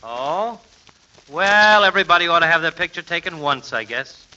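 A talkative, opinionated barber in 1940s radio comedy style. His voice is high and very bright, with a breezy, folksy delivery. The recording is muffled and faintly hissy. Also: animated, normal speed. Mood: rambling, breezy. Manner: garrulous, breezy, unbothered. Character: chatty, folksy, opinionated small-town male barber.

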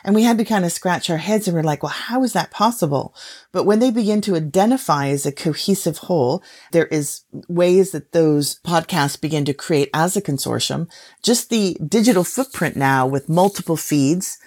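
Female expert guest, low register, conversational and explanatory, tags points with quick check-in questions. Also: a little mellow, husky. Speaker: podcast industry guest expert.

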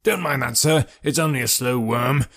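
A man voicing a cranky, lanky old male animal keeper who yells threats and then chats fussily. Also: medium pitch, moderate pace, creaky. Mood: fussy, welcoming. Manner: shouting threats, then fussy and chatty.

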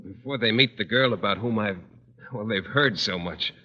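An actor playing a naive, bashful bachelor in an old radio comedy, earnest, guileless and slightly halting. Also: male voice, lowish voice, lightly acted. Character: naive, bashful, wholesome bachelor, oblivious and eager. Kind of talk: 1940s radio comedy acting. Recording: dull, reverberant old radio recording.

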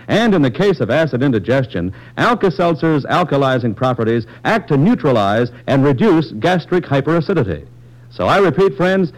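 A man working as a radio announcer, delivering a polished, emphatic 1940s-style commercial pitch. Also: rough recording.

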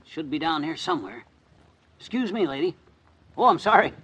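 Excitable, high-pitched old-radio husband. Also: male voice, breathy edge, exaggerated voice. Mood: impatient. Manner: blustery, folksy, fast-reacting. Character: flustered, excitable husband searching for his wife's purse. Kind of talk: 1940s-50s radio comedy acting. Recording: old radio recording, faint noise.

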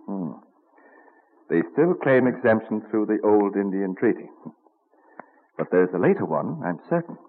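An elderly, scholarly statesman with a deep voice that rises and falls in lively swells. He is fond and musing, savouring his words. It sounds like a muffled old radio play. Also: a little slow, long pauses, a little hiss or hum. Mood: reluctant, musing. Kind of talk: old-time radio drama acting. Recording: muffled vintage radio recording.

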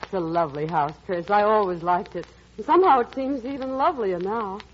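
A woman's voice: mid-range voice, fairly quick, lightly acted. A woman playing a poised, tender and anxious businesswoman in an old-time radio drama, with emotive, theatrical delivery. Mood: warm, reflective. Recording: muffled, noisy vintage radio recording.

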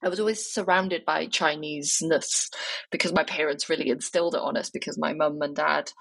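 Low husky woman speaking hesitantly. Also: steady tone, fairly quick, fairly bright tone, no echo, rough recording. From Wales. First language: Cantonese. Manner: hesitant, many fillers and restarts. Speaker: interview guest. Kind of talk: reflective personal interview.

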